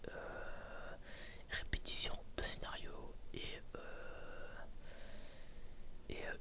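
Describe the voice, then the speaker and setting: A woman chatting casually as an ASMR creator, in a rambling, halting way that often repeats words and phrases. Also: sharp tone. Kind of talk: whispered ASMR casual chat.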